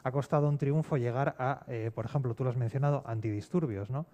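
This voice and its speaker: A man's voice: medium pitch, quick pace, dark tone, muffled recording. A man with an accent from Spain, a scriptwriter talking discursively in a panel discussion, repeating words for emphasis. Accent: Spanish from Spain. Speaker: fiction scriptwriter and guest panelist.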